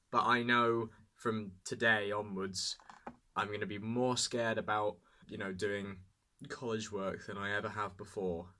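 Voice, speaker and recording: A man's voice: lowish voice, pushed and bright. A British man in his early twenties talking casually and reflectively in a personal vlog, with a self-deprecating, rambling manner. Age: early 20s. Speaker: vlogger.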